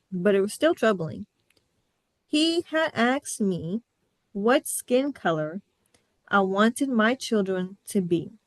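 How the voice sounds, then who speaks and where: A woman's voice: medium pitch, no room sound. Young woman podcaster from the midwest, sassy and candid, dry close mic. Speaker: podcast host. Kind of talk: casual podcast chat.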